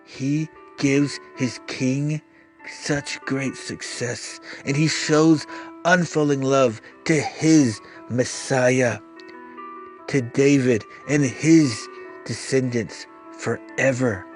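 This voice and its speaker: Slow, solemn man reading scripture. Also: medium pitch. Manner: slow, solemn, declamatory delivery. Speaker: scripture reader. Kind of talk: dramatized Bible reading. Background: faint music.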